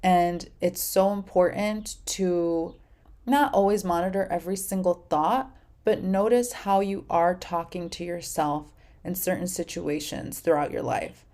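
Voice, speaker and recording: Soft-spoken, mellow-voiced woman podcaster. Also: fairly low pitch, fairly even intonation, unhurried. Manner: gentle, encouraging, speaks in affirmations. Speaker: podcast host. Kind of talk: casual solo podcast talk.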